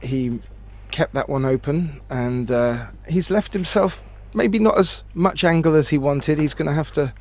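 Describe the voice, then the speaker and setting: A man giving conversational live pool commentary in measured phrases, often repeating a word as he thinks. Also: on the high side. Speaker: sports commentator. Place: remote commentary from his own location.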